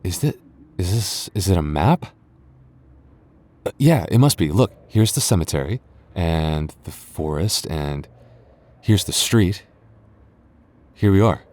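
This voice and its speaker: Man acting a deep-voiced, hesitant goth boyfriend. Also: animated, slow pace, pauses often, a little mellow. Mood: puzzled, searching. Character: gentle, sentimental goth boyfriend lost in endless haunted street. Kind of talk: boyfriend ASMR roleplay.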